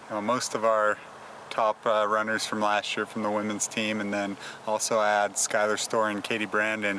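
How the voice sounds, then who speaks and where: An American man, a running coach, giving an interview at practice in halting phrases with many ums and repeats. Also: lowish voice. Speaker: cross country head coach. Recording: noisy outdoor location sound.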